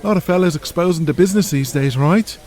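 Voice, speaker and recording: A man's voice: a little high, fast talker, a little mellow, pitched above the performer's own voice, natural voice. A man voicing a cocky, sly wrestling villain in an audio drama, with a smug, taunting and colloquial way of talking.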